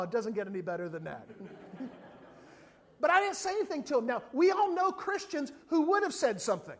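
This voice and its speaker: Male preacher, sermon, humorous storytelling, animated delivery. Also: extremely high pitch. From not from South Africa. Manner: animated storytelling, acts out other people's voices. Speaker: evangelist.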